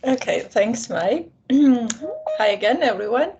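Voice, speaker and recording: A woman's voice: on the high side, animated, takes it slowly, highs cut off, background noise. A young woman from Georgia, a graduate student, giving friendly, encouraging advice on an online call. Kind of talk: casual online talk.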